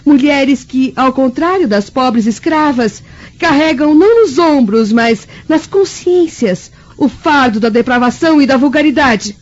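A woman's voice: high voice, expressive, unhurried, muffled recording. A Brazilian-accented woman playing a proud, assertive young Roman noblewoman in a radio drama, speaking eloquently. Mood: indignant.

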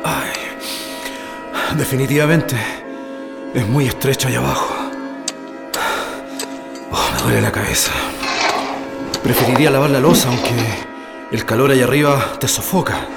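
An actor as a weary Chilean prisoner over prominent music. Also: male voice, medium pitch, moderate pace, gravelly, pauses often, lightly acted. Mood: weary, suffering. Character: weary male political prisoner digging an escape tunnel. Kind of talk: radio drama acting.

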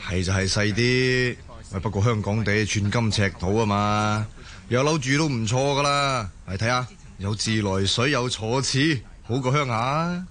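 A man's voice: medium pitch, unhurried, fairly bright tone, lightly acted. A man acting a patient, reassuring working-class Hong Kong husband in a Cantonese radio drama. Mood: upbeat, persuading. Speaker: voice actor in an audio drama.

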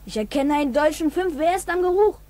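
A woman's voice: high-pitched, animated, fairly quick, dark tone, no room sound. A woman playing a suspicious, blunt village boy in a 1960s German radio drama. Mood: suspicious, challenging. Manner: blunt, challenging. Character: suspicious, cheeky village boy, tough-talking child.

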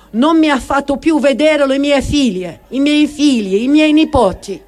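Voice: high-pitched, a little mellow, pitched above the performer's own voice. A performer voicing a bedridden, reproachful mother in her eighties, complaining insistently in a radio reading. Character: bedridden 83-year-old mother, plaintive and reproachful.

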